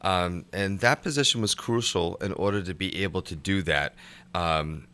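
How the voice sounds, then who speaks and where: A man, a city mayor, answering questions as a guest on a local radio show in a measured, official way. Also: deep voice, sharp tone, smooth.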